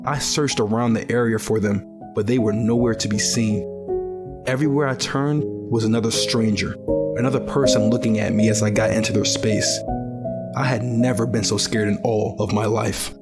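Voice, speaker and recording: An actor playing a jaded, cautious young survivor who narrates an audio drama in a weary, skeptical way. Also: male voice, fairly low pitch, fairly even intonation, moderate pace, loud music behind the voice, close to an everyday voice. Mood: reflective.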